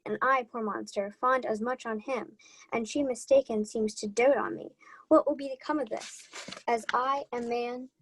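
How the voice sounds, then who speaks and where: A young woman playing an earnest, slightly halting heroine disguised as a man in a Shakespeare radio play. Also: fairly high pitch, poor audio. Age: young adult.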